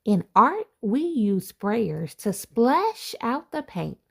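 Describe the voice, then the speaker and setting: A woman reads a rhyming picture book aloud to children, taking her time and letting her voice swoop up and down with delight. Her voice sits fairly high and carries a gravelly edge of fry. She breaks off now and then for playful asides to her young listeners. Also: takes it slowly. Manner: expressive storybook reading with playful asides. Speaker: assistant principal reading aloud.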